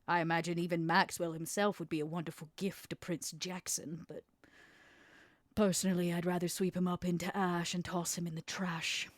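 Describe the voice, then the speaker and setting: A woman playing a shrewd female vampire smuggler in a tabletop game, bargaining in a deliberate, persuasive way. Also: fairly low pitch, little pitch movement, dry recording, studio quality, natural voice. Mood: cool resolve. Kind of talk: in-character tabletop roleplay.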